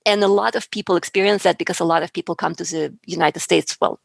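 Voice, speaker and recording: A woman's voice: on the low side, steady tone, fast, vocal fry, dry recording. A woman speaking as a public speaking coach and guest in a conversational interview, earnest and emphatic, often repeating words for stress. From outside the US.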